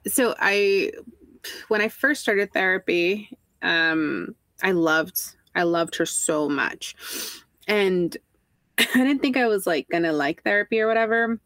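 A young woman with a Texan accent hosting a casual podcast chat, self-mocking and quick to laugh. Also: medium pitch, fairly bright tone, no room sound. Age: young adult. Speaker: podcast host.

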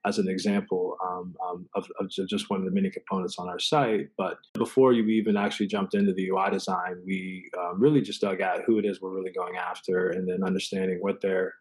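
Deep raspy guy, rambly casual explainer, lots of you knows. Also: level tone, brisk, hardly any pauses, a little mellow, low-quality recording. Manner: rambling, peppered with "you know" and "right". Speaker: product designer, interview guest.

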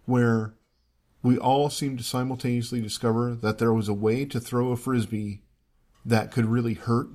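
A man's voice: mid-range voice, steady tone, moderate pace, a little mellow. Man in his forties, chatty and quizzing. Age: 40s. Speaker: podcast co-host. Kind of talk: casual movie commentary chat.

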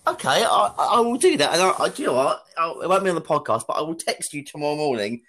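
A man's voice: a little high, very animated, fast talker, a bit bright, slight background noise. A man, co-hosting a podcast in casual chat, stammering into restarts and laughing often. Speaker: podcast co-host.

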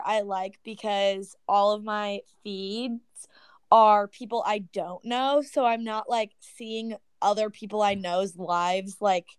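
A woman of twenty-one, a podcast guest, chatting relaxed with many "like"s. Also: mid-range voice, smooth, dry recording. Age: 20s. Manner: relaxed, uses "like" a lot. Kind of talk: casual chat. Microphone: remote call.

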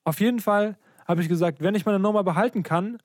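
A man's voice: high-pitched, quick pace, a little mellow, no echo. Slangy young man, close and dry. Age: young adult. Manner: slangy, colloquial, acts out quoted dialogue. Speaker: podcast co-host. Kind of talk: casual chat, animated storytelling.

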